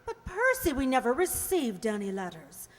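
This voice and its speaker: A woman's voice: high-pitched, huge pitch swings, normal speed. An actress playing a spirited, emotional young noblewoman about to marry, in a radio play. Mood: flustered, pleading. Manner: earnest, emotional, theatrical. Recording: echoey hall, long reverb.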